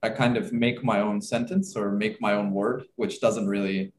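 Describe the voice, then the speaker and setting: A young man from Turkey who leads a casual chat over a video call in a relaxed, chatty way. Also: lowish voice, moderate pace. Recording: echoey room with faint noise.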